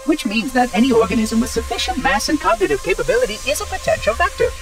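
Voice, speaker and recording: High-pitched, fussy robot caretaker. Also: male voice, big pitch swings, quick pace, recorded from a distance, over music, rough recording. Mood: officious, cheerful. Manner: formal, precise, pompous. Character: prim, fussy, cheerfully officious floating robot caretaker. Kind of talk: scripted video game acting.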